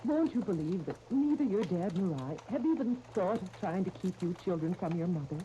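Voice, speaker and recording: An actress playing a patient, pleading stepmother in a 1930s radio soap opera, gentle and earnest. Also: lowish voice, expressive, fairly quick, natural voice. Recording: muffled, noisy 1939 radio disc recording.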